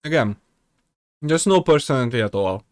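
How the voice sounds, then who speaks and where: A man's voice: medium pitch, animated, normal speed, no echo, clean studio sound. A young man hosting a reaction video, sarcastic and rambling, his voice jumping up when exasperated. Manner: rambling with many fillers and restarts. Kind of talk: mocking reaction commentary.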